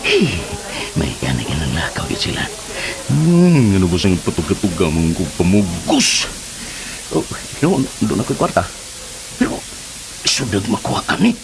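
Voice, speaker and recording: An actor playing a leering, flustered older Filipino uncle in a radio drama, stammering, hemming and exclaiming. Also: male voice, sits low, wildly swooping pitch, moderate pace, vocal fry, pauses often, music in the background, full character voice, poor audio. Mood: grumbling.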